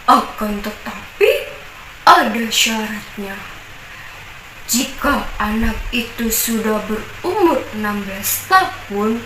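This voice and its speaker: A menacing male giant from a spoken folk tale, put on by a young woman storyteller. He drawls his threats slowly and loudly, and the voice sits distant in an echoey room with steady background noise. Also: a little high, pitched below the performer's own voice, heavily acted.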